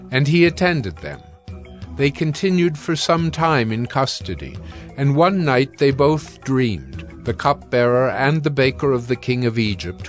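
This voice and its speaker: A male narrator with a medium-pitched voice reads scripture. His delivery is solemn and measured, with music playing underneath.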